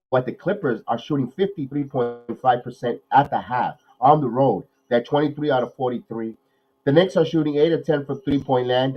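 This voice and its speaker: A man hosting a live watch-along podcast, nasal from a cold, calling plays excitedly and then talking analysis with many repeats. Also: medium pitch, lo-fi sound. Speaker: podcast host.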